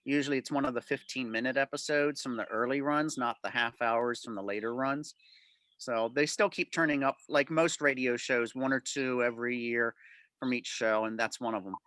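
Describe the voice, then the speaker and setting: A man's voice: mid-range voice, steady tone, moderate pace, pushed and bright, dry recording. A man answering club members' questions informally on a video call, explaining things in detail.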